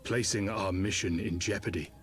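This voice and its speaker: A solemn dark overseer from a fantasy video game , played by a male actor, speaks in a lowish male voice. He is grave and portentous, choosing formal archaic words with ominous intent. Music plays underneath. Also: steady tone, normal speed, natural voice. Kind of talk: scripted video game cutscene acting.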